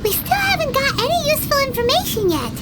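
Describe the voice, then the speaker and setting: A woman voicing a small, chatty female fairy companion with a high, squeaky voice in a video game. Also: sing-song, fast talker. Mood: curious. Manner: bubbly and chatty. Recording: game audio with loud music behind.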